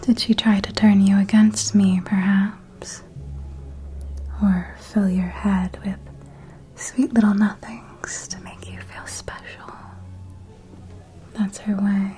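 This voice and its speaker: A woman playing an elegant, knowing vampire mentor in an ASMR roleplay, in a soft, breathy, genteel whisper. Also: mid-range voice, natural voice. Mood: amused, gossipy. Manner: slow, deliberate, genteel, intimate. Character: elegant female vampire mentor, possessive, knowing, quietly proud.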